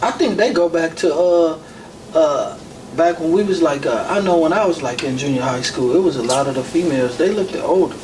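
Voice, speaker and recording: A man's voice: a little high, fairly quick. A man around fifty hosting a podcast, chatting in a loose, laid-back way with lots of fillers. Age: 50s. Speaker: podcast host. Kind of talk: casual chat. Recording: noisy, distant mic.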